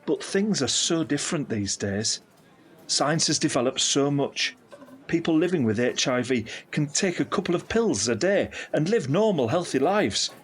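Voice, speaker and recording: An actor playing a candid, caring middle-aged uncle in an audio drama, speaking in an earnest, reflective, explanatory way. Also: male voice, on the high side, breathy edge. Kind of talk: scripted audio drama dialogue.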